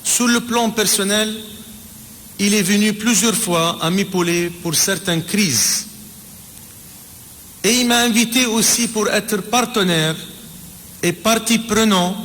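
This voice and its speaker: A man in his forties whose first language is Arabic, giving a measured, reflective spoken tribute as an ambassador, pausing and restarting his sentences. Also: high voice, a bit bright. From Palestine. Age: 40s. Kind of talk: spoken personal testimony. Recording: reverberant room with faint background noise.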